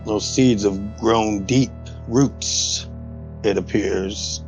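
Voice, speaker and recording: A man's voice: fairly low pitch, fairly even intonation, very slow, darkish tone. A man playing a tabletop role-playing game, talking casually and improvising, on a dull lo-fi recording with music behind.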